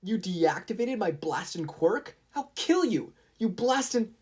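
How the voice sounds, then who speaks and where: A narrator voicing a foul-tempered teenage boy fighter who yells aggressively in a story. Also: mid-range voice, very animated, a little slow, breathy edge, voice pushed up. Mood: enraged. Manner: yelling, aggressive.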